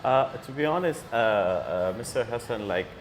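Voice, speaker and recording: A man from Afghanistan with an Afghan accent, talking casually as an interview guest in halting phrases. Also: medium pitch, animated. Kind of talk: casual interview chat, switching languages.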